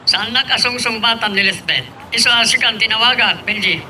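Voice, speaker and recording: Sharp, high-voiced stern father played by a man. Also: a little fast, slight background noise, full character voice, poor audio. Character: stern father and boss chasing his daughter. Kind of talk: Ilocano radio-style drama acting.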